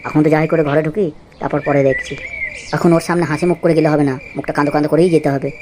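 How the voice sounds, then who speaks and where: A woman's voice: deep voice, fairly even intonation, racing, a crowd in the background, lightly acted. A woman playing a henpecked, secretly scheming village husband in an amateur comedy cartoon, wheedling, pleading and muttering to himself.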